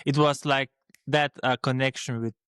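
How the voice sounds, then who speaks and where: A man's voice: mid-range voice, fairly even intonation, moderate pace, fairly bright tone, clear, resonant tone, no room sound, clean studio sound. A young man from Slovenia with a Slovene accent, co-hosting a casual podcast chat and speaking hesitantly with many restarts and fillers. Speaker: podcast co-host.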